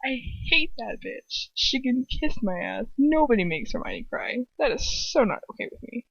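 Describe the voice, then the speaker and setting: A young woman with a high voice reads a story aloud casually. She is irreverent and sweary, breaking off to comment or fix slips. The recording is dry and lo-fi. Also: very animated, normal speed, creaky, a bit bright, husky, no echo. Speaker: amateur fan fiction reader. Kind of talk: informal read-aloud with casual asides.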